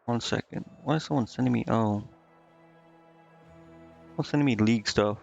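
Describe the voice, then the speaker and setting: A male game streamer with a creaky, gravelly voice at an ordinary pitch. He mutters through menus and reads text aloud in a casual, halting way, working things out as he goes. The microphone sounds slightly dull, with music playing behind him. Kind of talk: casual gaming stream commentary, reading game text aloud and thinking out loud.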